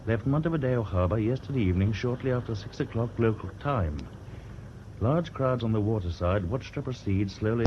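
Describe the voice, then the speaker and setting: A man's voice: on the low side, natural voice. A man playing a courteous, well-informed British Admiralty worker with an educated, precise manner in a radio play. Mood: reading aloud. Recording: dull lo-fi radio recording, faint noise.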